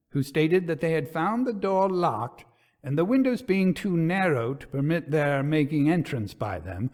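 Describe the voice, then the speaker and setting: A man narrating a gothic ghost story in a theatrical, suspenseful, measured manner. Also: medium pitch, a little mellow.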